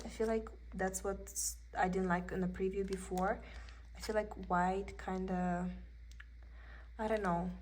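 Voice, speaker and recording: A woman's voice: mid-range voice, slight background noise. A young woman from Russia with a Russian accent, chatting casually and thinking aloud as she shows off her cross-stitch haul at a table. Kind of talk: casual show-and-tell haul. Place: indoors at a table.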